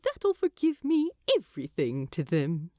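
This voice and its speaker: A woman voicing a wry, fond, aging hobbit gentleman in a storybook narration, chatty and amused. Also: lowish voice, huge pitch swings, unhurried, no room sound, clean studio sound. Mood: wry amusement.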